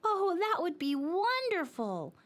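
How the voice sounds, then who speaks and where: Woman voicing an eager, high-pitched little talking dreidel. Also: wildly swooping pitch, slow pace, full character voice. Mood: happy. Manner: pleading, exclaiming, sing-song. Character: small lonesome talking dreidel, male, childlike, eager and playful.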